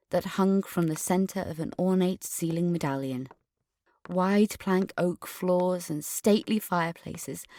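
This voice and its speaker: Casting: privileged, defensive woman for podcast drama, slightly low voice, formal precise diction, pompous. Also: a little mellow, no echo, clean studio sound. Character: haughty, defensive upper-class adult alumna named Katrina. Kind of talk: dramatic monologue in a fiction podcast.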